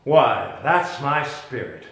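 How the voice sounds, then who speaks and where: Guy playing a grave shakespearean wizard duke, slow and declamatory, sharp bright voice, swings up and down a lot. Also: medium pitch, big pitch swings. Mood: commanding, questioning. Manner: deliberate, declamatory. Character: Prospero, commanding exiled duke and sorcerer, grave and theatrical. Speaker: actor in dramatic reading. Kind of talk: Shakespearean dramatic reading. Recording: echoey room.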